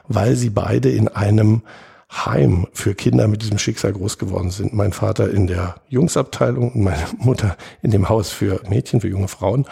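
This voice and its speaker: A man in his fifties from West Berlin, a political journalist talking as a guest in a measured, thoughtful, digressive way. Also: lowish voice, vocal fry, warm tone, husky. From West Berlin, Germany. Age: 50s. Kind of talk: reflective conversational storytelling.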